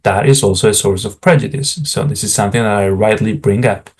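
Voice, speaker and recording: A man's voice: on the low side, fairly quick, a little mellow, slightly rough. A middle-aged man from Spain, a native Spanish speaker with a Spanish accent, frankly explaining how to write academic job materials and often turning to the viewers with asides. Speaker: academic career advisor. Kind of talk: explanatory talk to camera.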